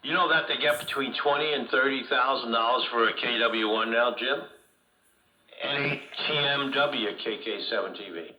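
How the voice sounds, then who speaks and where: A man's voice: medium pitch, normal speed, bright, forceful tone. An older man chatting and reminiscing casually as a ham radio operator, heard over AM radio. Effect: AM shortwave radio. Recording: echoey AM radio signal.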